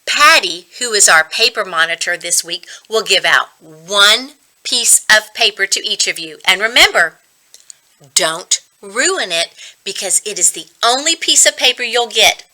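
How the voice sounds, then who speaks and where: A woman's voice: medium pitch, lively intonation, measured pace, pushed and bright. A woman reading a storybook as a strict, bossy first grade teacher, firmly instructing her class.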